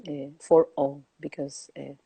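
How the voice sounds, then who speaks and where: Soft-spoken woman from Peru, low and mellow. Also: flat delivery, gravelly, husky. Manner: slow, thoughtful, with many hesitations. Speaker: guest. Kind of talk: reflective conversation. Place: home, calling in remotely.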